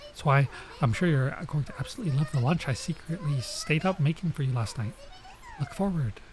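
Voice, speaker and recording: A man's voice: fairly high pitch, sing-song, a little fast, rough recording. A man voicing a small, eager, boastful robot girl from a visual novel. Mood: smug.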